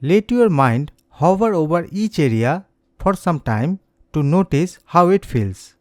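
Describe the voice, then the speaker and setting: Mellow, close-miked man guiding meditation. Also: on the high side, lively intonation, no room sound. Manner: gentle, soothing, unhurried instructions. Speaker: meditation instructor. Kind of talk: slow guided meditation, scripted narration.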